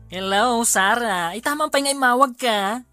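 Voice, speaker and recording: A woman's voice: on the high side, moderate pace, bright, forceful tone, faint music behind. A woman playing a concerned, fretful confidante in an Ilocano radio drama, with a Filipino accent. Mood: alarmed.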